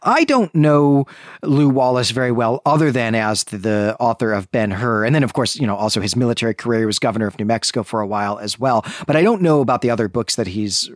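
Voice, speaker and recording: Male co-host, conversational and informal book chat, average pitch, very dry recording. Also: animated, fast talker, no echo. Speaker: podcast co-host. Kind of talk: casual literary discussion.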